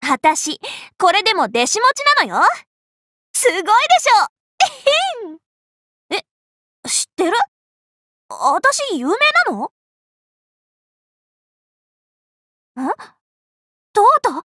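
A young female Buddhist monk master from an anime game, with a very high, bright voice that leaps around in pitch. She is cheerful, bossy and a little scatterbrained. Her delivery is childlike and boastful, full of exclamations. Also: big pitch swings, unhurried, pauses often, no room sound, clean studio sound, heavily acted. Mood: boastful, excited. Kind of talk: Japanese anime game character voice lines.

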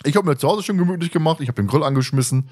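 A man's voice: a little high, expressive, rapid-fire, darkish tone. Man chatting casually as podcast host.

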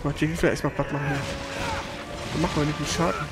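A man with a high, creaky voice plays a video game and comments casually. He is blunt and quick to grumble, and loud game music runs behind him. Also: level tone. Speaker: let's player.

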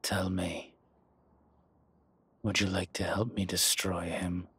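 A man playing a suave, scheming dragon mob boss in an intimate audio roleplay, with measured, husky, breathy delivery and deliberate pauses. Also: low voice, level tone, a bit bright, full character voice. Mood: conspiratorial. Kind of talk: slow, intimate scripted roleplay monologue.

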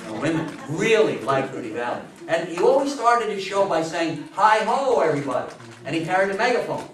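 A man's voice: high-pitched, very animated, a little fast. A man in his seventies giving an informal talk to a room, telling anecdotes animatedly and repeating phrases for emphasis. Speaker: speaker giving a history talk. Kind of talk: informal lecture with anecdotes and audience questions. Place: meeting room before a seated audience. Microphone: far camera. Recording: roomy echo, distant camera microphone.

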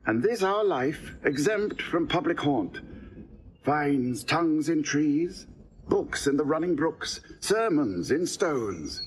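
A philosophical banished duke. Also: male voice, fairly high pitch, sing-song, a little hiss or hum. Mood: reflective. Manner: reflective, measured speech with slowed phrases. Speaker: exiled duke. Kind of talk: classical stage acting.

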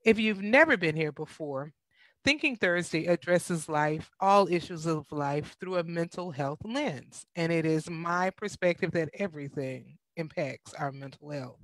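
A woman with an American accent hosts a talk show at a slow pace. Her delivery is measured and instructional, picked up closely and dryly by a headset mic. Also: low voice, no room sound. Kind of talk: guided breathing and show hosting. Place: indoor room with bookshelves, video call.

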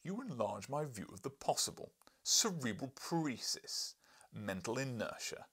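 A man's voice: mid-range voice, very animated, a little slow, fairly bright tone, breathy edge, exaggerated voice. A man voicing a blustering, pompous, irascible professor in an audiobook, bellowing with scornful emphasis. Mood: irate, contemptuous.

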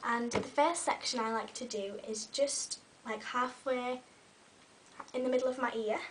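A young woman in her twenties, recovering from a cold and a bit croaky, chatting casually through a hair tutorial in her bedroom. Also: a little high, fairly even intonation, unhurried, far from the mic, a little hiss or hum, rough recording. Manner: chatty, talks while styling hair, many ums. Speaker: beauty vlogger. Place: small bedroom with fairy lights. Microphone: webcam a little way off.

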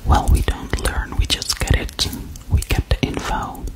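A woman whispering close to the mic as a gentle female alien visiting Earth, in a slow, soothing, repetitive ASMR role-play, recorded indoors in a small room. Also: natural voice. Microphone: large studio mic held very close.

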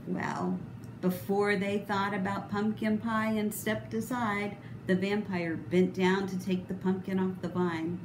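A middle-aged woman reading a children's picture book aloud expressively, with deliberate, dramatic emphasis. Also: mid-range voice, flat delivery. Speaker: storybook reader. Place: indoor room, voice a little echoey. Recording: roomy echo, clear background noise.

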